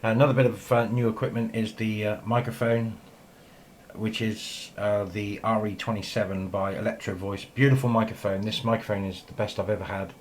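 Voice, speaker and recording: Male hobbyist vlogger, lowish voice, loose and hesitant show-and-tell delivery, caught on a handheld camera mic with light noise behind. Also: fairly even intonation, normal speed. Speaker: amateur radio operator filming shack tour. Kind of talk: casual handheld video tour, ad-lib commentary. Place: small indoor radio room. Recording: noisy handheld camera sound.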